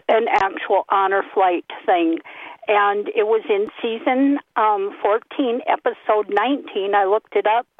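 A woman's voice: on the high side, no echo. A woman calling in to a radio show by telephone, speaking earnestly and hesitantly over a tinny line. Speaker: radio phone-in caller. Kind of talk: casual, earnest call-in remarks.

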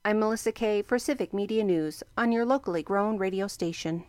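A woman reading a scripted radio news bulletin from the news desk, in a brisk, even and formal delivery. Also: medium pitch, no room sound. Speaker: newsreader.

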